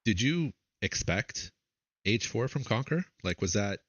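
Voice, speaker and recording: A man casting a strategy game tournament, chatting with a co-caster and interviewing a player, with frequent drawn-out fillers. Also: fairly low pitch, expressive, no echo, dull audio. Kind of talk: live game commentary and interview.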